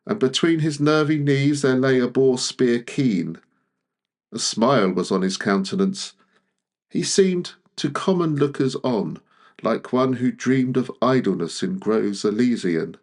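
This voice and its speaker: A man reading classic verse aloud in a measured, deliberate way, pausing often between phrases. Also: medium pitch. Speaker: poetry reader. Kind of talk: literary poetry recitation.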